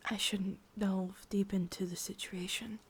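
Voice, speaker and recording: A woman with an English accent voicing an ancient, possessive, wistful witch in a slow, intimate, breathy ASMR whisper. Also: mid-range voice, flat delivery. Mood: confiding. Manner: slow, intimate, musing, with lingering pauses. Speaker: ASMR roleplay performer. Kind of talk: whispered ASMR roleplay monologue.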